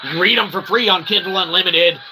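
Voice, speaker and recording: A man's voice: sits high, a little fast, background noise. A man hosting a livestream in a rambling monologue, wordy and mock-formal, repeating himself emphatically with tag questions. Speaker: livestream host and self-published author.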